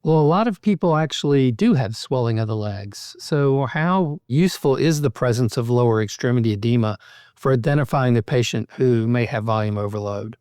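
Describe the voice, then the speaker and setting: A man speaking as a podcast host, asking structured medical questions in a measured, articulate way. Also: mid-range voice, expressive, a little mellow, clean tone, no room sound, clean studio sound.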